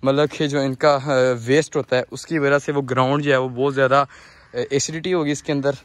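A young man with a Pakistani accent, talking casually and haltingly to viewers as a farm-owning vlogger, outdoors on a phone. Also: mid-range voice, steady tone, no echo. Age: young adult. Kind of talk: casual vlog tour, explaining to viewers. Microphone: handheld phone at arm's length.

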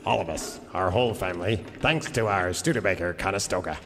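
An actor playing a drawling, patronizing frontier patriarch and huckster in radio comedy. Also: male voice, low-pitched, brisk, fairly bright tone. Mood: homespun. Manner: drawling, homespun. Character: folksy, patronizing old-West family patriarch and huckster. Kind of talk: old-time radio comedy sketch. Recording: reverberant stage hall.

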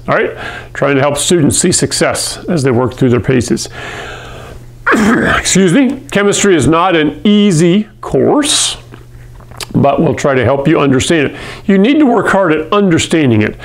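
Throat-clearing middle-aged male teacher. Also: on the high side. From Hawaii. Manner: friendly, conversational, often clears his throat. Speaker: chemistry teacher. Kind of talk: video lesson to camera. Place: classroom in front of a whiteboard. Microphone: lapel mic.

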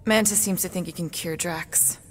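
A woman's voice: lowish voice, steady tone, normal speed, lightly acted. An actress playing a tough alien warrior woman in a video game, dry and direct, over a noisy backdrop.

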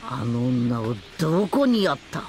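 A pompous villain god, wildly swooping pitch. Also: male voice, very animated, unhurried, slight background noise. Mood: cold, menacing. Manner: pompous, imperious, archaic formal speech. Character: arrogant male god villain scorning humans, cold and grandiose. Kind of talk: Japanese anime game voice acting. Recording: loud dramatic music behind the voice.

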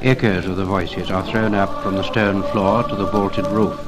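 Male narrator, British RP, low register, reverent and lyrical 1950s commemorative script, over prominent music on a vintage record. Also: flat delivery, normal speed. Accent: British received pronunciation. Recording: 1950 LP recording, music behind voice.

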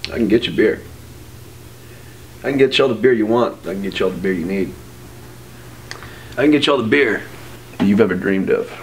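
Man playing a low-voiced cocky, shady dealmaker. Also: brisk, pauses often, a little hiss or hum, lightly acted, rough recording. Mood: persuasive, cocky. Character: cocky, shady bearded stranger offering magical deals. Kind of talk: amateur short film acting.

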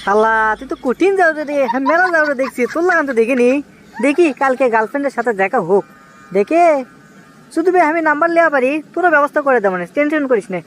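A man plays an excitable young village schemer in a comic cartoon. He has a high voice and a Bogura accent. The words tumble out extremely fast, wheedling and exclamatory, over faint background noise. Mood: scheming. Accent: Bogura, northern Bangladesh. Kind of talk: comic cartoon dialogue in rural dialect.

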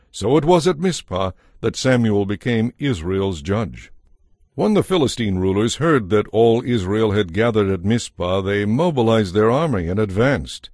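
Guy narrating an audio bible, scripted read, lowish voice, super dry studio sound. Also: darkish tone, no room sound.

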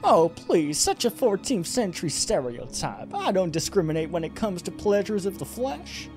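Man putting on a high-pitched sultry succubus woman. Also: sing-song, normal speed. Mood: coy, scheming. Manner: sultry, mocking, theatrical delivery. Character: seductive, taunting female succubus, smug and predatory. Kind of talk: reading visual novel dialogue aloud. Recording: music behind the voice.

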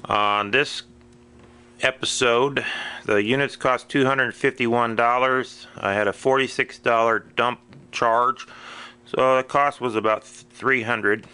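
A man's voice: mid-range voice, fairly even intonation, slow, sharp tone, clear, resonant tone. A middle-aged man narrating a casual handheld vlog about storage auctions, dry and matter-of-fact, recorded outdoors on a camera mic. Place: outdoors at a swap meet and a storage unit.

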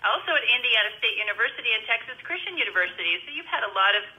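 A woman's voice: fairly high pitch, sing-song, very fast, pushed and bright, rough recording. A woman hosting a radio interview show, upbeat and friendly, speaking into a headset mic. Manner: upbeat, prays on air.

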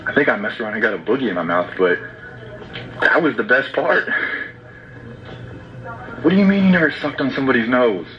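A man's voice: mid-range voice, lively intonation, brisk, pauses often. Young man, brazen slangy phone-call prankster, dull lo-fi sound, music behind. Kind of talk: staged loud fake phone call.

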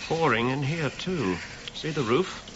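Animated, stubborn gentleman archaeologist. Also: on the high side, measured pace, dull audio, background noise. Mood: matter-of-fact, absorbed. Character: earnest, stubborn gentleman archaeologist, proud and anxiously possessive. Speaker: actor in a radio drama. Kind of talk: period radio drama acting.

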